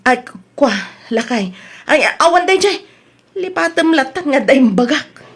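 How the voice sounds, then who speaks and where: A woman playing a chatty, evasive village wife in an Ilocano comedy audio drama, exclaiming and quick to retort. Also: high voice, lively intonation.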